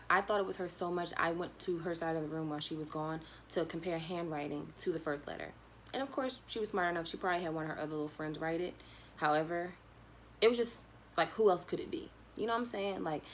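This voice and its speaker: A young woman with a notably low voice tells a story as if chatting to friends. Her delivery is slangy and animated, slipping into quoted back-and-forth dialogue. There is clear ambient noise behind her. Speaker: vlogger telling a personal story. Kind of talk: casual conversational storytelling.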